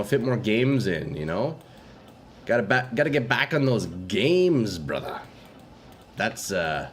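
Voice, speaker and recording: Young male streamer, congested, relaxed slangy chat, pitch bounces a lot. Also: slight background noise. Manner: laid-back and slangy, calls viewers bro and dude. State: stuffy nose. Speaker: game streamer. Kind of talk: casual live-stream chat with viewers.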